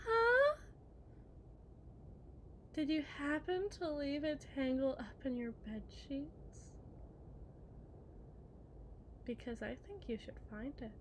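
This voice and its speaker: A possessive, unhinged kidnapper wife, acted by a woman in a theatrical ASMR roleplay. Her voice is high and sharp, and she draws her words out slowly as she mocks and pleads, swinging between hushed and shrill. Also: a little hiss or hum. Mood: gleeful, menacing.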